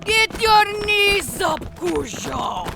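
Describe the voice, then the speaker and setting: A woman's voice: extremely high pitch, sing-song, very slow, vocal fry, over music, heavily acted. A woman in a fandub playing a bossy gym coach who barks sarcastic, military-style orders at runners. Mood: barking, impatient. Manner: barked military commands, sarcastic scolding. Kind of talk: fandub voice acting.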